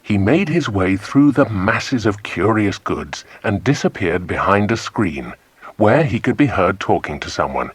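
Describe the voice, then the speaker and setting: Deep-voiced man narrating, husky and animated. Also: a little slow, no echo. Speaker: audiobook narrator. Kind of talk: scripted children's story narration.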